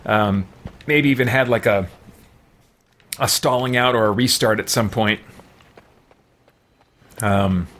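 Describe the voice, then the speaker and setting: A man's voice: fairly low pitch, takes it slowly, pauses often, a bit bright. Casual man thinking aloud as he games. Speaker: gamer playing and commenting on a role-playing game. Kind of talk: casual let's play commentary.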